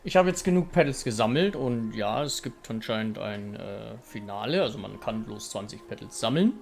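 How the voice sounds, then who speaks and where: A man's voice: lowish voice, animated, fairly quick, gravelly, soft background music. A man who is a native German speaker, commenting on a game and reading its narration aloud. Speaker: gaming YouTuber.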